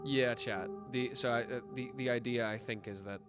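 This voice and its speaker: A man's voice: medium pitch, steady tone, moderate pace, over music. A man live-streaming a video game with casual, sweary, irreverent commentary.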